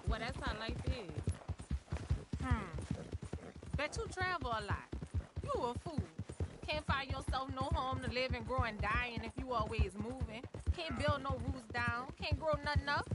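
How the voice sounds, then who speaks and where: A woman's voice: high-pitched, expressive, unhurried, loud music behind the voice. An actress voicing a plain-spoken, distrustful rural swamp woman in a video game, with a Southern US accent and a folksy, blunt, chatty manner. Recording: horse hooves and outdoor noise behind.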